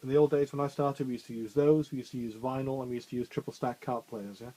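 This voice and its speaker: A man with a bassy voice walks trainees through a radio studio desk in a chatty, informal way, full of fillers and restarts. His pitch sits at an ordinary middle height. A faint hiss runs behind him. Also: steady tone, fast talker, mellow. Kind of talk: hands-on technical demonstration.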